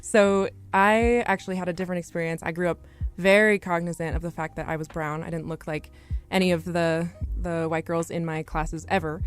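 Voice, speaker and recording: Young female student guest, somewhat low voice, reflective and articulate conversational storytelling. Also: fairly even intonation, brisk, hardly any pauses, faint music behind. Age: young adult.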